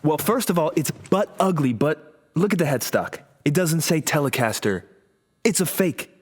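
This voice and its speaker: An actor playing a cranky, blunt, foul-mouthed rock guitarist in an audio drama. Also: male voice, on the high side, expressive, moderate pace, husky. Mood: irritated, blunt. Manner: irritable, blunt.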